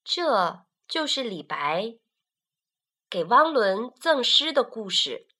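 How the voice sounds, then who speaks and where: A woman tells a story to young listeners in a slow, unhurried way. Her voice is bright and fairly high. She moves her pitch up and down a great deal as she narrates. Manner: slow, expressive storytelling for children.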